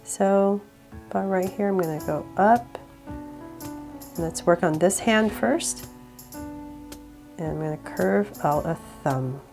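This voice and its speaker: A woman with a cold guiding viewers step by step through a drawing, relaxed and laughing a little at her own slips. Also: fairly low pitch, takes it slowly, creaky, long pauses, soft background music. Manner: relaxed, with small laughs and self-corrections. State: sick, voice a bit off. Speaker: drawing tutorial host.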